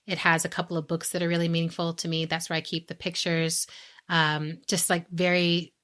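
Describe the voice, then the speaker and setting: A woman with a slightly low voice and an American accent. She chats casually and reflectively in a podcast setting, sharing advice and slipping into imagined quotes to illustrate her points. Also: completely flat delivery, brisk, fairly bright tone. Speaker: podcast co-host giving advice.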